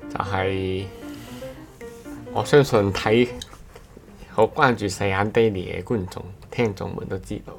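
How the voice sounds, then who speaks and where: Low-voiced Cantonese man, casual guest. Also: measured pace, vocal fry, long pauses, faint music behind. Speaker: podcast guest and scriptwriter. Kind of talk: casual chat.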